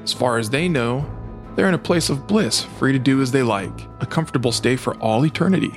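A man's voice: medium pitch, lively intonation, brisk, lightly acted. Man voicing a grandiose, scheming old demon bishop. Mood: smugly calm. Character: scheming old demon bishop, soul collector, coolly menacing. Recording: music behind the voice.

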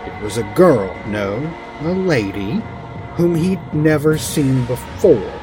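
A man narrating a comic fantasy story in a theatrical, deliberate dramatic-reading style. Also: medium pitch, animated, music in the background.

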